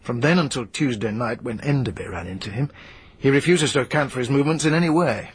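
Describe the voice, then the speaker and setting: An actor playing a persistent, measured police inspector in a radio drama. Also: male voice, mid-range voice, husky. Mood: earnest report. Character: dogged, skeptical police inspector Narracott.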